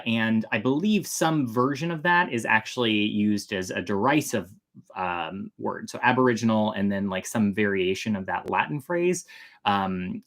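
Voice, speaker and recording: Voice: mid-range voice, a bit bright. A storyteller hosting a show, chatting informally to viewers and explaining from notes with loose, hedging phrasing. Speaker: host and storyteller. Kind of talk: casual explanatory chat to viewers, reading from notes.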